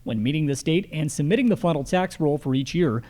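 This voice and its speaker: A man's voice: on the high side, animated, brisk, darkish tone. A man moderating a candidate forum, reading questions formally and courteously. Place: live public forum on a college campus.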